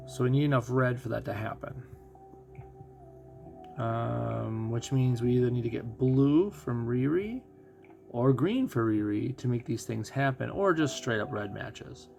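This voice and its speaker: Male game streamer, mid-range voice with vocal fry, casual think-aloud commentary over background music. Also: medium pitch, a little slow. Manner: musing, thinking out loud. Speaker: gaming streamer.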